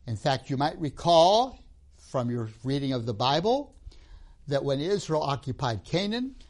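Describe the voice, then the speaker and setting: Male lecturer from Tanzania, slightly high, animated delivery, storytelling style. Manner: storytelling with tag questions, acts out scenes. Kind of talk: lecture on religion and myth.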